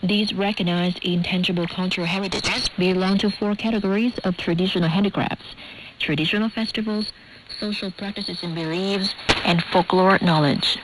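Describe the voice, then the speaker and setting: A woman reading the news in an even, careful way, on a radio broadcast. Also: medium pitch, moderate pace. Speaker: newsreader. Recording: noisy shortwave radio broadcast. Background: shortwave static and hiss.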